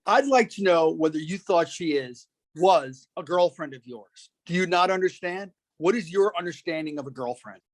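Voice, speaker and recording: A man's voice: a little high, expressive, moderate pace, hardly any pauses. A man playing a persistent lawyer who puts insistent, clipped questions to a witness in a dramatic reading. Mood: patiently pressing. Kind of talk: dramatic reading of a deposition transcript.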